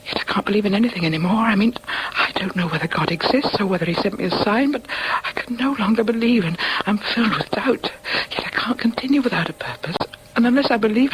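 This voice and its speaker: A woman's voice: a little high, a little hiss or hum, natural voice, poor audio. An actress as an anguished, soul-searching devout woman. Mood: anguished, despairing. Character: anguished devout woman losing her faith, despairing. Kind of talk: dramatic radio monologue.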